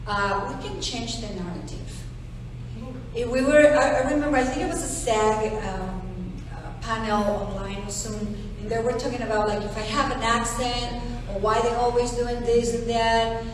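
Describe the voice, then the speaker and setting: A woman from Mexico with a Mexican Spanish accent, talking on a panel in an animated, emphatic way. Her voice sits a little high and is picked up from a distance. A big reverberant hall and a clear room hum surround her. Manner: animated, emphatic, quick asides. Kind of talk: panel discussion. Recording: echoey hall, far from mic.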